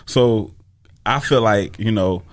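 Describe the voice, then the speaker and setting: A man chatting casually on a radio panel, speaking in a halting way and checking for agreement. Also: on the low side. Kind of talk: casual chat.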